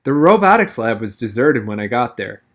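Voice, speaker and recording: A man's voice: medium pitch, very animated, normal speed. A man reading a first-person novel aloud expressively, now and then stumbling and rereading a word. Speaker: audiobook reader.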